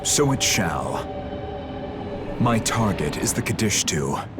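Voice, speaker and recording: A man playing a grim, coldly pragmatic hunter in a video game, speaking in a measured, formal, stern way. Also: fairly low pitch, very animated. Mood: stern, resolute. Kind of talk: dramatic video game voice acting. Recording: loud music under the voice.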